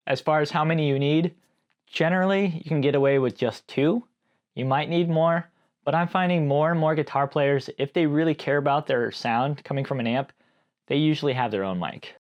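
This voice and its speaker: A man giving a casual, conversational explainer on gear as an informal video host. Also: a little high. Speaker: host, live sound engineer.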